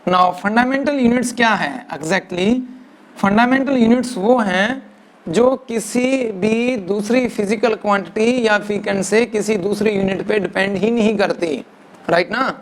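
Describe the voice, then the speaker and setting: Male teacher who keeps asking "right na". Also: high voice. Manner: mixes English into Hindi, keeps asking "right na"; dictates definitions slowly, word by word. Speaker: physics teacher. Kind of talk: classroom lecture.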